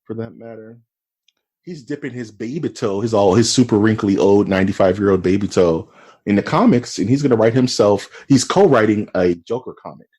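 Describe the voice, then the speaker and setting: A man co-hosting a casual podcast, animated and joking, often calling his co-host "dude". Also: lowish voice.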